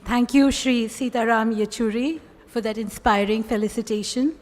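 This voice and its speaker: A woman's voice: on the high side, moderate pace, darkish tone. Female emcee with a polished, formal delivery, heard in a hall with a long echo. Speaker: master of ceremonies. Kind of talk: formal stage announcement. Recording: reverberant hall.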